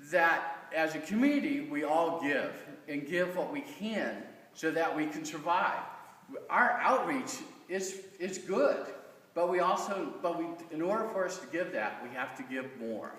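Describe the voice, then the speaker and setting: American guy, middle aged, talking to his congregation kinda haltingly, highish voice, big echoey room. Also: fairly bright tone. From Kansas, United States. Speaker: church member giving a stewardship talk. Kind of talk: informal personal testimony to a congregation. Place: large reverberant room, speaking from off the mic. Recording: echoey church hall, distant mic.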